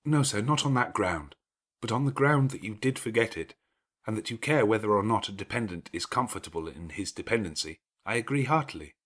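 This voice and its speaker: Young governess as a male narrator reads her: polite, restrained, frank and quietly defiant, slightly husky classic-novel dialogue. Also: on the low side, animated, fairly quick. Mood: composed, frank.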